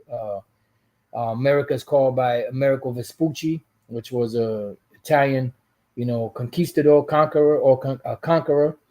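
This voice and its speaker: A man's voice: medium pitch, warm tone. A man hosting his channel, giving casual religious commentary and reading out scripture in a rambling way, full of asides and restarts. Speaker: channel host.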